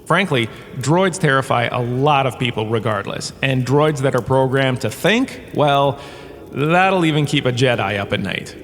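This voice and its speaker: An actor playing a pompous, washed-up star turned flippant professor, lecturing in a theatrical, breezily dismissive way for a comic audio drama. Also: male voice, medium pitch, expressive, moderate pace, a bit bright, natural voice. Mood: amused, lecturing. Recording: long cave reverb, background ambience noise.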